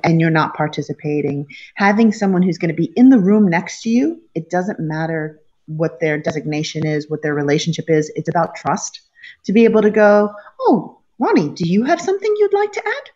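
Woman, 40s, executive guest, lively and blunt, dull call audio. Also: deep voice, normal speed. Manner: frank, animated, self-mocking. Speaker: guest, company chief. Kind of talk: video call panel talk. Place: home room with wooden shutters. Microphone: laptop webcam on video call.

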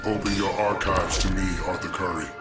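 Cold game cyborg , male actor: low, precise, emotionless menace over heavy music. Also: fairly even intonation, brisk, close to an everyday voice. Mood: cold, menacing. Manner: formal, clipped, unfeeling, precise. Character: cold emotionless evil cyborg seeking human feeling. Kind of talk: video game villain dialogue.

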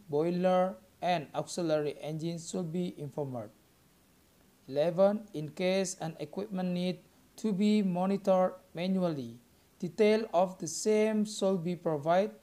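A young man with an Indonesian accent reads a scripted student presentation aloud, halting word by word. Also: on the high side, a little mellow. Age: young adult.

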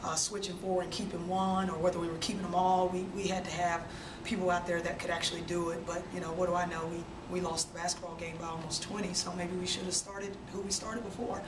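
Subdued woman coach, postgame presser, low and monotone, roomy distant desk-mic sound with noise. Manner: subdued, measured, frequent fillers. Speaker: head basketball coach. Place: press room with sponsor backdrop. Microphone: desk mic on stand. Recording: roomy, distant, noisy press room audio.

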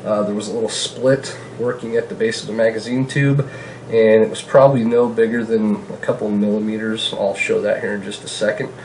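A man's voice: on the low side, a little fast, a little hiss or hum, low-quality recording. Stuffy-nosed man chatting at his kitchen table. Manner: relaxed, chatty, many fillers. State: stuffy nose from a cold. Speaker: gun channel host. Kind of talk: casual product vlog. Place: home kitchen, indoors.

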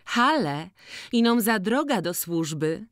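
A reader voicing a lively, bold young village girl in a slowly read audiobook. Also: medium pitch, no echo, studio quality, voice pushed up, exaggerated voice. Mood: chirpy. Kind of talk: audiobook character voice.

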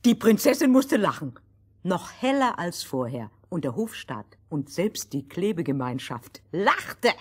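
Woman storyteller, husky, lowish voice, conversational fairy tale narration for children. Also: big pitch swings, a little slow.

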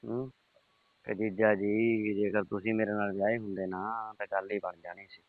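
A man's voice: on the low side, level tone, normal speed, no echo. A middle-aged man, having a casual private chat over the telephone. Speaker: uncle on a phone call. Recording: tinny, band-limited phone call recording.

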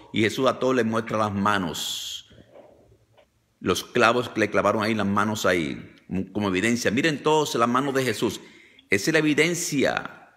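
A man's voice: mid-range voice, a bit bright. An older man leading a fervent, repetitive deliverance prayer on a phone video call, commanding and declaring. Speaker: deliverance preacher.

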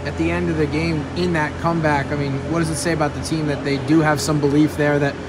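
A man, a reporter, asking quick, detailed questions after a game. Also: on the high side, flat delivery. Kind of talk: press question. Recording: clear background noise.

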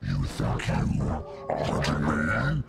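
Monstrous hellhound, extremely gravelly growl, smug predator, deliberate menace, video game voice, loud background noise. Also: male voice, extremely low pitch, huge pitch swings, exaggerated voice. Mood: smug, taunting. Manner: slow, taunting, deliberate. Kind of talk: video game character voice lines.

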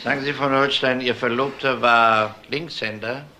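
Male detective, terse and questioning, forceful low voice, faint background noise, crime radio drama. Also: steady tone, normal speed. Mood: probing, courteous. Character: calm, probing male police detective. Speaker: actor.

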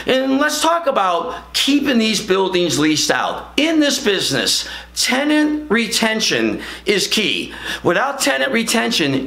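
An older man giving an emphatic, salesy pitch straight to camera in a studio, gesturing as he talks. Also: sharp tone, reverberant. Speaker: franchise company founder. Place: indoor studio with plain gray backdrop.

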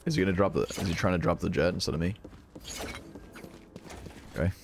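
A man in his thirties streams a shooter game, trading jokes and snapping out short callouts. His voice sits a bit low. Clear gunfire and game noise run behind him. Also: fairly even intonation, fairly quick. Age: 30s. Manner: joking, terse callouts. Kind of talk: casual gameplay commentary. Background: shooter game sounds.

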